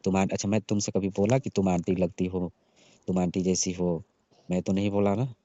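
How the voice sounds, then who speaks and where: Deep, very dark-voiced pleading young man. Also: flat delivery, normal speed. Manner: pleading, insistent. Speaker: caller, jilted lover. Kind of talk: casual emotional phone conversation. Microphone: phone call. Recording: dull lo-fi phone call recording.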